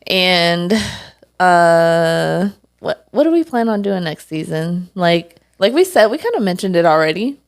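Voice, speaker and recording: A woman's voice: lowish voice, expressive, a little slow, gravelly, clean tone. A young woman co-hosting a podcast in casual chat, talking loosely with lots of fillers and frequent laughs. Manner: laughs often, chatty with many fillers. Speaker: podcast co-host.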